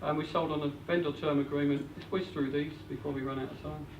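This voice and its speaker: A man's voice: on the high side, steady tone, fast talker. A man presenting at a live seminar, practical and matter-of-fact, with frequent fillers. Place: meeting room with an audience. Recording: echoey room, distant mic, faint hiss.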